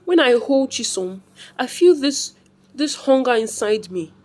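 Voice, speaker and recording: A woman storyteller plays an anguished young mother from a folktale, terrified of her own dark craving. Her voice is pitched up, halting and pleading, with words repeated. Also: animated. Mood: frightened, troubled.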